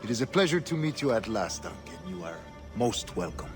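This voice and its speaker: An actor playing a solemn, courteous older governor with a Spanish accent and a formal, measured manner, in a video game. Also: male voice, lowish voice, big pitch swings. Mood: courteous, cautious. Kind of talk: dramatic video game acting. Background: clear background music.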